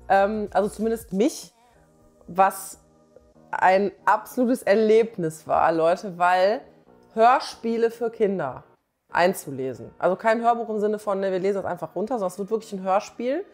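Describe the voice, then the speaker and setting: A woman's voice: mid-range voice, moderate pace, darkish tone, soft background music. A woman in her forties chatting casually and emphatically to camera, full of enthusiasm, in colloquial language. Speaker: doctor and author presenting her comic. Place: small living room on a sofa, indoors. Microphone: clip-on lapel mic.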